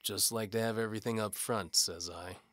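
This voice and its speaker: A man playing a cynical, world-weary knight-for-hire detective, narrating a hardboiled noir audio drama in a deadpan, wisecracking way. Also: lowish voice, moderate pace, no room sound, lightly acted. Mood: businesslike, sardonic.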